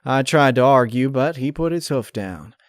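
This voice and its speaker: A man's voice: medium pitch, expressive, moderate pace, dry recording, clean studio sound, voice pushed up, natural voice. A man voicing a good-natured, weary female farm pony in a story, with a mild, resigned, folksy manner.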